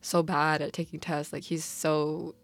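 A woman's voice: sits low, flat delivery, a little slow. A young woman with a Canadian accent co-hosting a casual podcast chat, talking in an informal, slangy, emphatic way. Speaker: podcast co-host. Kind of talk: casual chat discussing a TV drama.

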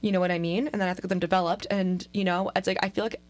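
A young woman co-hosting a podcast, chatting casually and telling stories with lively repeats. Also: lowish voice, rapid-fire. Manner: animated storyteller, repeats words. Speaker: podcast co-host. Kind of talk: casual chat.

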